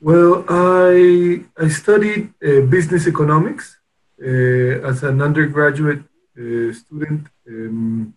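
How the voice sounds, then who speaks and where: A man from Peru speaking as an interview guest, telling his story in a hesitant way with many pauses and restarts. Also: on the high side, low-quality recording. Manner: hesitant, with frequent restarts and pauses while recounting. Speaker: interview guest, company founder. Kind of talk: casual interview conversation.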